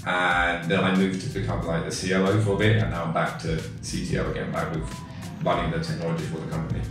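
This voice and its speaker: A man's voice: low-pitched, fast. An English man in his forties, a tech company co-founder, talking casually in an interview with lots of fillers and restarts. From England. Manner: relaxed, rambling with many fillers and restarts. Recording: echoey room, far from the mic, music behind.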